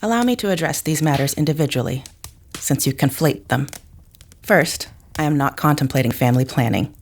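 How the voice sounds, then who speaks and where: A woman's voice: low-pitched, a little fast, soft background music. A woman playing a reserved, rational psychic mother in an audio drama, speaking formally and precisely. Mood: reserved, explaining.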